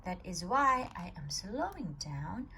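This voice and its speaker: A woman reading a children's picture book aloud at storytime, telling it with an expressive, swinging voice. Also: lowish voice, slow, a little hiss or hum. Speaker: storytime reader.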